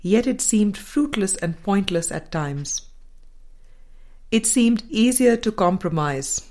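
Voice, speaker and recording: A woman reading a spiritual book aloud in a measured, deliberate and formal way. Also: medium pitch, lively intonation, darkish tone. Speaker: audiobook reader.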